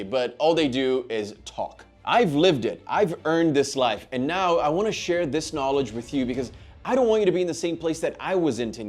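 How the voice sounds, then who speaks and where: A man in his thirties, born in Brazil with Portuguese as his first language, talking earnestly and emphatically in a scripted self-improvement vlog. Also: mid-range voice. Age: 30s. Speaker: lifestyle YouTuber.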